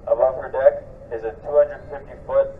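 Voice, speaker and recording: A man's voice: medium pitch, fairly even intonation, moderate pace, phone-quality sound. A man announcing a ship to onlookers over a public address system, steadily reading facts. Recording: distant loudspeaker with faint noise.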